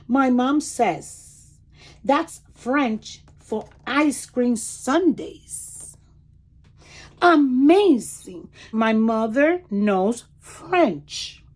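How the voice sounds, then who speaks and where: A woman reading a picture book aloud to children in an expressive, deliberate way, pausing to explain words and point things out. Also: a little high. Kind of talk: animated children's read-aloud with commentary asides.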